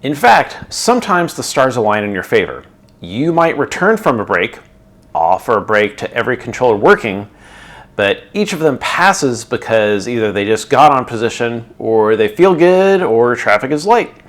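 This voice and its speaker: A middle-aged man hosting his channel, narrating a scripted explainer in a clear, instructional way. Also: medium pitch, very animated, normal speed. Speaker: channel host explaining air traffic control.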